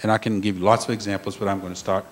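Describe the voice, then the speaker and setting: A man's voice: lowish voice, level tone, fast talker. Man lecturing emphatically at a conference. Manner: emphatic, repeats key phrases, checks in with "okay?". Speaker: conference panel speaker. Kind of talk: lecture on intellectual property. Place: conference room.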